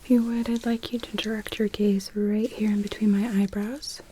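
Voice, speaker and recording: A woman whispering softly and breathily as a doctor in an ASMR medical roleplay, giving gentle, reassuring, unhurried instructions. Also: medium pitch, level tone, a little hiss or hum. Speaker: ASMR performer playing a doctor.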